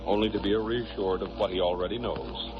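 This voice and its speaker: A man's voice: low-pitched, fairly quick, heavy background noise. A man with an American accent giving scripted narration as the announcer of a 1940s radio drama. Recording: muffled old radio recording.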